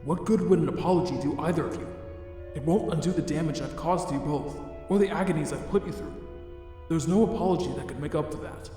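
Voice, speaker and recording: A man playing a remorseful, self-loathing monster scientist in a comic dub, speaking in a formal, deliberate way. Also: fairly high pitch, warm tone, lightly acted. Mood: regretful. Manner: formal, deliberate, grave wording. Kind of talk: dramatic fan comic dub. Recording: very echoey long reverb under music.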